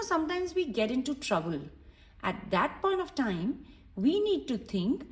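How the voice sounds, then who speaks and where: A woman's voice: fairly high pitch, a little mellow. A woman reading a storybook aloud to young children, explaining it simply and putting questions to the listener. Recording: roomy echo, distant from microphone.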